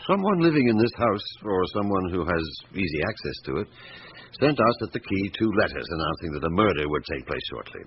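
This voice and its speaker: Weary male detective, deep register, measured and dry, radio drama with audible hiss. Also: very animated. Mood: probing, firm. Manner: probing, measured questioning with dry retorts. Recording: muffled, hissy radio drama recording.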